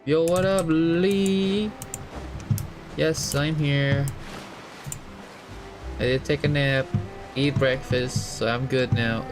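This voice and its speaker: A man's voice: fairly high pitch, fairly even intonation, slow pace, long pauses. A man giving casual, chatty, slangy live commentary as a game streamer, in a laid-back way. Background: game music, typing.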